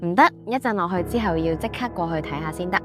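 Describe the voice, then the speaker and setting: A woman playing an excitable, stubborn young girl cousin in a ghost story, with an animated, insistent delivery. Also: on the low side, quick pace, music in the background, lightly acted. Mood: puzzled, insistent. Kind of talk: Cantonese ghost-story dramatization.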